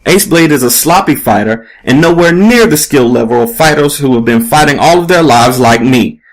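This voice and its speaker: A man's voice: medium pitch, lively intonation, normal speed, lightly acted, rough recording. A man playing a proud, guarded retired boxing champion in an audiobook story, speaking in a matter-of-fact, evasive way.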